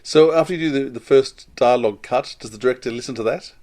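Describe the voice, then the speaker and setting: A man's voice: medium pitch, quick pace, darkish tone. A man hosting a podcast, putting questions to a guest in a conversational interview style.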